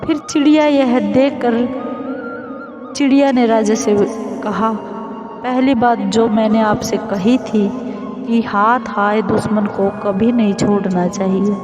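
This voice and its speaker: A woman host narrating a scripted moral story in a measured, deliberate way, phrase by phrase. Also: a little high, fairly even intonation, highs cut off, music in the background.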